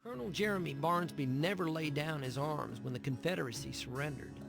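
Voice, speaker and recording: Gravelly, sorrowful preacher narrating. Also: male voice, fairly high pitch, over music. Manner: grave, measured storytelling. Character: weary, sorrowful preacher brother of outlaws. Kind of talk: dramatic scripted game narration.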